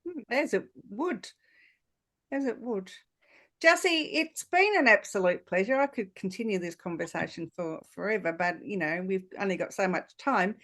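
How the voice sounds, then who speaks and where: A woman's voice: medium pitch, lively intonation, moderate pace, fairly bright tone. A woman hosting a conversational podcast interview, speaking in an agreeable, affirming way. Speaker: podcast host.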